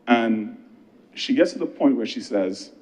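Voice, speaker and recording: A man with a Barbadian accent delivering a parliamentary speech as a government minister, conversational and earnest, with emphatic pauses. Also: medium pitch, animated, a little mellow. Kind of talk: political speech in parliament with anecdotes.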